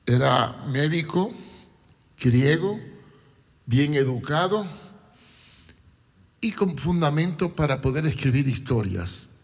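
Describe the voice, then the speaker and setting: A man from Cuba preaching a sermon in a deliberate, emphatic way, with repetition and rhetorical questions. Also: on the high side, lively intonation. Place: large church hall. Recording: long hall reverb.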